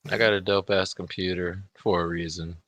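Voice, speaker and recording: A man in casual chat, laconic and low-key, with blunt short remarks. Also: steady tone, normal speed, fairly bright tone, no echo.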